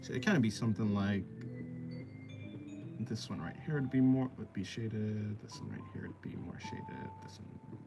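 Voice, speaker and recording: A man's voice: fairly low pitch, moderate pace, over loud music. A man streaming while he draws, chatting casually and explaining art, with rambling talk that often restarts mid-thought. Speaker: artist streamer. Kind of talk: casual live-stream chat while drawing.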